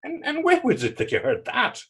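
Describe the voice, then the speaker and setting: A man playing a battered, pious and self-mocking fisherman in readers theater, telling his story in halting, folksy dialect. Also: very high-pitched, huge pitch swings, gravelly, a bit bright, slightly rough, heavily acted. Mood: wry. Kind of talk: readers theater dramatic dialogue.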